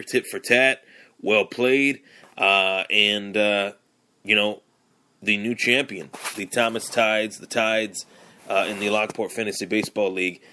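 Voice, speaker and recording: Young man hosting a podcast solo, a bit hoarse and raspy, lowish voice, loose and rambling. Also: pushed and bright. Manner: rambling, with many fillers and restarts. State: hoarse from yelling. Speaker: podcast host. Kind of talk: casual solo sports podcast.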